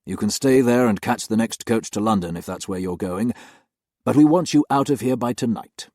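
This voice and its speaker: A man voicing a stern gentleman employer who speaks harshly and curtly. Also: on the low side, normal speed, no room sound, clean studio sound, pitched above the performer's own voice, natural voice. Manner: harsh, curt, adamant.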